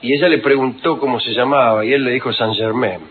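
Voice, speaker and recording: A man hosting radio, telling ironic, digressive stories with quick asides. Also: mid-range voice, steady tone, some chatter behind. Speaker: radio host. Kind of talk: humorous radio talk. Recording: lo-fi phone-like archive radio with noise.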